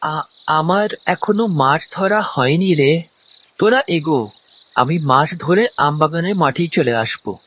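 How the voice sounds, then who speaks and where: A man playing an affectionate but stubborn young village uncle in an animated story. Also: fairly high pitch, slow. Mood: hesitant, insistent. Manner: colloquial, expressive.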